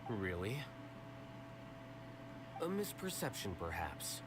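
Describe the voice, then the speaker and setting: Low, blunt, aloof scholar. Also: male voice, very animated, slow, full character voice. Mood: blunt, detached. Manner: blunt, detached, precise. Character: aloof, blunt, coolly logical young male scholar. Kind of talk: video game voice acting. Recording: game dialogue under clear background noise.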